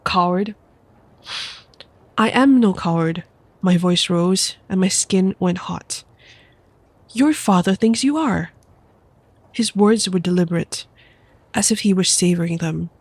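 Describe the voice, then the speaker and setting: A young woman with a stuffy nose from a cold, reading a book aloud softly as an ASMR streamer into a close microphone. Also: lowish voice, husky, dry recording. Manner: soft, quiet, unhurried reading voice. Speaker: VTuber streamer.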